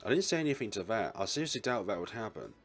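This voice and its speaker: A man's voice: on the low side, sing-song, fast talker. A man reading a visual novel's narration aloud as a let's play narrator.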